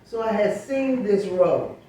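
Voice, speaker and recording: A woman's voice: mid-range voice, animated, unhurried, mellow. Older woman, very echoey distant recording. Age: 70s or older. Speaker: interview guest, sociologist and teacher. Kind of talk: reflective conversational interview. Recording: very echoey room, distant mic, faint noise.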